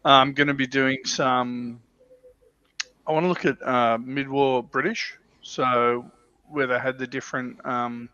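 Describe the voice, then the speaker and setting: A man co-hosting a casual livestream chat, speaking in a hesitant, halting way. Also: medium pitch, steady tone, sharp tone, smooth, no echo, dull audio. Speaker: co-host.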